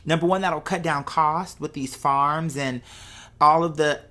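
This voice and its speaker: A woman's voice: sits low, steady tone, measured pace, a bit bright. A woman talking casually off the cuff to viewers, rambling conversationally and checking in with rhetorical questions. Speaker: vlogger. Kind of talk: casual off-the-cuff monologue, thinking aloud to viewers.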